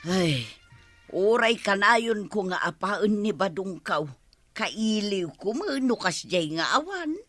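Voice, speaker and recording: A woman's voice: mid-range voice, sing-song, slow pace, a bit bright, soft background music, heavily acted. A woman playing a plaintive but cheeky village woman in a comedy radio drama, drawing out her words.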